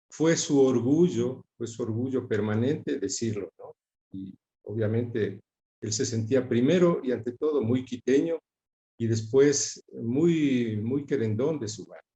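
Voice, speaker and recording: A middle-aged man with a Quito Ecuadorian accent, reminiscing conversationally as an interview guest in a reflective, halting way. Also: medium pitch, mellow. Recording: roomy, echoing sound.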